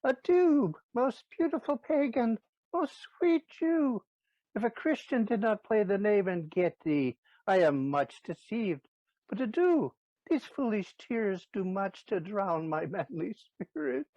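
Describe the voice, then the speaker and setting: Man doing cheeky sentimental servant clown, shakespeare audio play, swoopy pitch, dull dry recording. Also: big pitch swings, slow pace, no room sound, exaggerated voice. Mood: tearful farewell.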